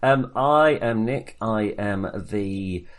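A man co-hosting a film podcast in casual discussion, speaking in a halting, wry and self-deprecating way. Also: fairly low pitch.

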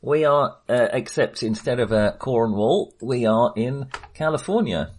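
A man's voice: medium pitch, animated, unhurried, a little mellow. A middle-aged British man in casual film discussion as a podcast co-host, often hesitant and searching for words.

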